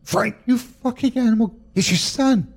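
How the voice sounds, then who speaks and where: A man's voice: on the low side, big pitch swings, moderate pace, gravelly, mellow, slightly rough, exaggerated voice. A man voicing a fierce, protective mother who curses at her husband and speaks tenderly to her sons. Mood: defiant. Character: fierce protective mother, middle-aged woman.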